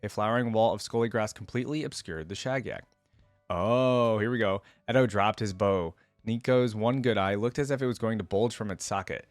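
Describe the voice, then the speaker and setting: Man, lower pitch, dry sound, casual story reading, informal reaction host. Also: a little fast, no echo, faint music behind. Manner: informal, slangy asides. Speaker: host of a game lore reaction video.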